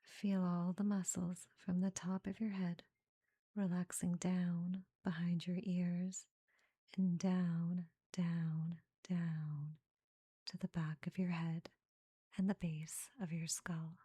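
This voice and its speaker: A woman leading a guided meditation in a soft, breathy half-whisper, giving gentle, soothing instructions in short phrases with pauses between them. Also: lowish voice, little pitch movement, no echo.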